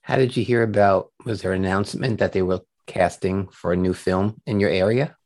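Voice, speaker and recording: A man's voice: sits low, fairly even intonation, brisk, darkish tone. A man hosting an interview show, introducing his guest and asking questions.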